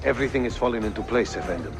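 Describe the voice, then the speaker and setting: A man's voice: lowish voice, animated, rapid-fire, over music. A man playing an eager, deferential Ottoman soldier bringing messages in a video game. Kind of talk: video game cutscene acting.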